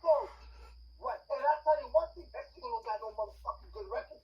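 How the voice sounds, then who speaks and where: A woman's voice: a little high, animated, fast. A woman from the United States in a heated, informal opinion talk, blunt and emphatic, in choppy bursts. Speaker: investigative reporter joining the discussion. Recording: muffled, distant audio with background noise.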